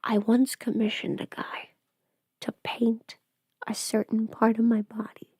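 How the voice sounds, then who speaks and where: A woman playing a chatty, playful streamer who rambles casually through her makeup routine, often slipping into hushed asides. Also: a little high, little pitch movement, a little slow, breathy edge, no echo. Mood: hushed confiding. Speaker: livestreamer doing a get-ready-with-me makeup stream. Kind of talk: casual livestream chat, scripted audio roleplay.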